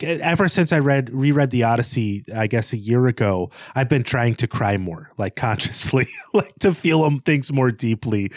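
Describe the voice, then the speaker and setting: A man's voice: mid-range voice, darkish tone, no echo, clean studio sound. A man co-hosting a podcast, discussing film in a casual, reflective and earnest way. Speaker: podcast co-host.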